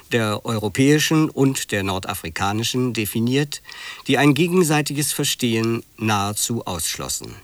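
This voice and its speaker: A man giving a formal, scripted introduction to a radio drama in a measured, factual manner. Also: mid-range voice.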